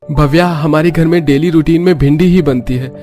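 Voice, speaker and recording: A man's voice: a little high, moderate pace, mellow, soft background music, lightly acted. A man voicing a firm young husband in a cartoon moral story. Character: firm young husband defending family habits.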